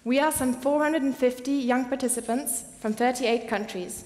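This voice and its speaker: A woman's voice: sits high, normal speed, darkish tone. A young woman moderating a press conference with formal prepared statements and introductions. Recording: echoey hall with long reverb.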